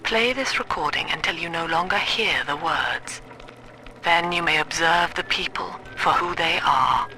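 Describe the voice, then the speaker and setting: An actress playing a cold, calculating cult leader who lectures in a measured, clinical way in a video game. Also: female voice, low-pitched, sharp tone, slight background noise, low-quality recording. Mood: hypnotic, instructing. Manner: measured, clinical, precise diction. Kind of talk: scripted video game monologue.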